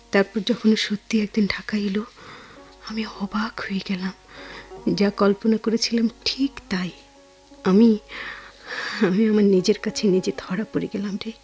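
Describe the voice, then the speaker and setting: An actress as a tender young Bangladeshi woman haunted by lost love, in a radio play. Her voice sits at a medium pitch and she speaks haltingly, doubling back on words, with music behind her. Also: a little mellow, lightly acted. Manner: halting, repeats words when emotional. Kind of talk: Bengali radio drama acting.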